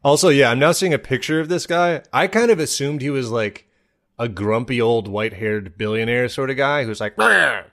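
A man's voice: mid-range voice, moderate pace, fairly bright tone. A man riffing casually as a comedy podcast co-host, sarcastic and given to slipping into mock quotes.

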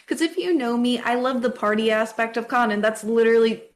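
Young woman, higher-pitched, casual and slangy. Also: a little fast. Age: 20s. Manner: slangy, frequent swearing. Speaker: podcast co-host. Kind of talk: casual chat with a friend.

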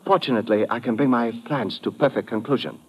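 A man's voice: medium pitch, fast talker, breathy edge, close to an everyday voice. Man acting a smug foreign villain, formal and clipped. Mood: smug, menacing. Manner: formal, precise, clipped wording with dropped articles. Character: scheming foreign doctor villain, smug and coldly menacing. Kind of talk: old-time radio drama acting. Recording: vintage muffled radio broadcast.

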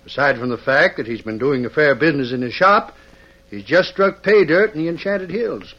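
An actor playing a mild, proper, hesitant judge and banker in a 1940s radio western. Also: male voice, a little high, lively intonation, normal speed, fairly bright tone. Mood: proper, surprised. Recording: muffled old radio recording.

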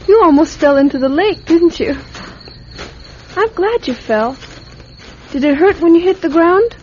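Little girl in a radio play, high slow voice, curious n earnest, muffled recording. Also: a little mellow. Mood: friendly, curious. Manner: wide-eyed, earnest, childlike chatter. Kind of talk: radio drama acting. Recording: dull, noisy radio drama recording.

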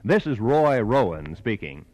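A man announcing in formal, scripted 1940s radio style with measured phrasing. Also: on the low side, big pitch swings, a little mellow, no room sound, poor audio.

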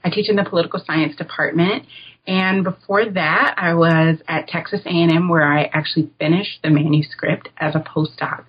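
A woman's voice: low voice, steady tone, moderate pace, slightly rough, muffled recording. An American woman answering interview questions as an academic guest, in a reflective, informal, explanatory way. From United States (North Carolina). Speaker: guest, author and political science academic.

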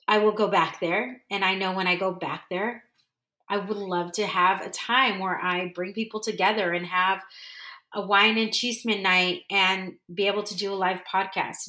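A woman's voice: mid-range voice, pushed and bright. Woman podcast host from san diego, earnest, rambly, keeps correcting herself, echoey room. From San Diego, California. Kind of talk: casual solo monologue.

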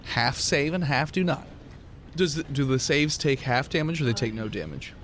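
Male game master, loose improvised tabletop narration, lively intonation, casual and sweary, slight background noise. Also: mid-range voice, moderate pace.

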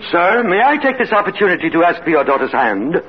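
A man's voice: high voice, sing-song, fast talker. A man playing a humble, lovestruck apprentice barber with an earnest, formal manner in an old-time radio comedy. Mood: courting. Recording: crackly, muffled old radio broadcast.

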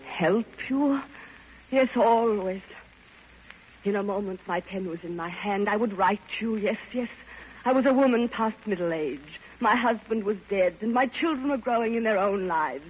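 An actress playing a passionate, lonely elderly widow, theatrical and yearning, in an old radio drama. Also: female voice, medium pitch, animated, normal speed, a little hiss or hum, natural voice. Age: older. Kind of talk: 1950s radio drama acting. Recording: dull old radio recording, faint music behind.

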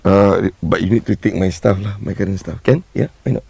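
A man's voice: low-pitched, a little fast, gravelly, darkish tone, slightly rough. A man with a Malaysian accent, a traffic department head, chatting casually in an interview.

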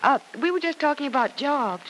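An actress playing a friendly, gracious young woman who gently teases, in an old radio serial. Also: high voice, lively intonation, a little fast. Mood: friendly, teasing. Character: Penny, friendly, teasing young unmarried daughter. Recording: noisy vintage radio recording.

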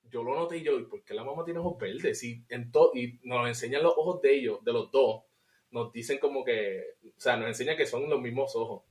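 A man with a Puerto Rican accent co-hosting a podcast, reviewing a film in an animated, casual way and slipping into English. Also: mid-range voice, fairly quick. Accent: Puerto Rican Spanish. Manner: animated, laughs often, mixes in English. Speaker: podcast co-host.

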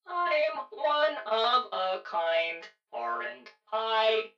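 A slow, fluty porcelain boy automaton. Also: high-pitched, big pitch swings, dull audio, soft background music, voice pushed up, full character voice. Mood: playful gentle. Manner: slow, gentle, playful. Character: shy old porcelain boy automaton magician.

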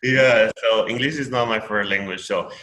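A man's voice: lowish voice, fairly even intonation, normal speed, fairly bright tone, reverberant. A man from Peru with a Peruvian Spanish accent, Spanish his first language, talking in a rambling casual conversation full of fillers and restarts.

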